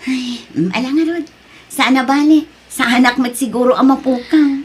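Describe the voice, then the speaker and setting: A woman's voice: high-pitched, normal speed, breathy edge. A woman playing a friendly, grateful overseas worker in an audio drama. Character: friendly, grateful woman working abroad, missing her child.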